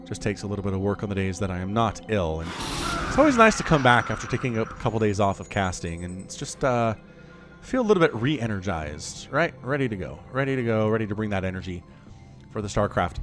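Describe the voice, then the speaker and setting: A man in his thirties casts a real-time strategy match on his own, his voice leaping up and down as the action builds. He talks in a chatty, excitable way and drifts into jokey asides, with faint music playing under him. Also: fairly low pitch, brisk. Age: 30s. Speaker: game caster. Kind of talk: solo esports play-by-play commentary.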